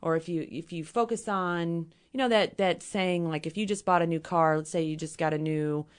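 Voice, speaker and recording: A hoarse woman with a Midwestern American accent, a company president giving earnest explanations as a guest in a casual interview. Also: fairly low pitch, steady tone, moderate pace. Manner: earnest, explains with everyday examples.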